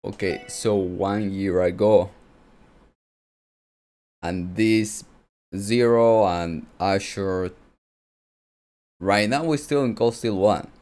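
A man's voice: fairly low pitch, extremely slow, pauses often, darkish tone, soft background music. A man giving casual game commentary, rambling and correcting himself as he thinks aloud. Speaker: game streamer commenting on a playthrough.

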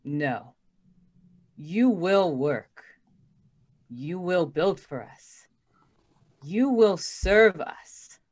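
An actress playing a cold, commanding robot revolutionary in old-time radio drama style. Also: female voice, on the low side, lively intonation, drawn-out words, long pauses, darkish tone, exaggerated voice. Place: indoors, on a video call.

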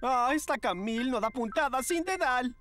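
A man's voice: very high voice, expressive, normal speed. An actor voicing a flamboyant, exasperated male manager in a cartoon dub, fussy and theatrical. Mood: brisk, wry. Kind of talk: animated cartoon dub acting. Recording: loud music behind.

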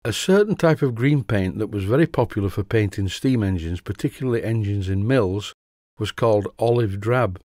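Low, dry-voiced workshop narrator, a man. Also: moderate pace, a little mellow, no room sound. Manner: chatty, dry humour, methodical. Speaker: workshop presenter. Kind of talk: instructional voice-over narration.

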